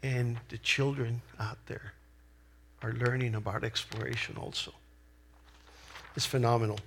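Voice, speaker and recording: A middle-aged man with a Salvadoran Spanish accent preaches in a slow, unhurried cadence, Spanish being his first language. His voice sits at an ordinary middle pitch, and he talks in an earnest, deliberate way with pauses between phrases. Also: steady tone, fairly bright tone, a little hiss or hum. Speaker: lay preacher. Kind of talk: sermon.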